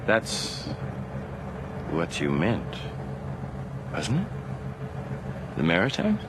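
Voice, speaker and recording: A man's voice: low voice, huge pitch swings, extremely slow, background noise, exaggerated voice. An actor playing a frightened, intense man urging on his friend in a 1980s radio horror drama, with a sardonic edge. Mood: subdued, uneasy.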